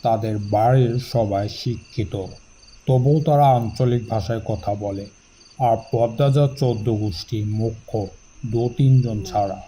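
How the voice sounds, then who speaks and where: A man's voice: on the low side, a little slow, dark tone, slight background noise, poor audio. A man reading scripted story narration.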